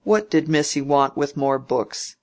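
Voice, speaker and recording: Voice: mid-range voice, lively intonation, unhurried, a little mellow, close to an everyday voice. A reader voicing a grumbling, domineering old male landowner who talks bluntly in a country way. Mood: gruff bargaining. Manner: blunt country speech, bargaining and scolding. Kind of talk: audiobook character reading.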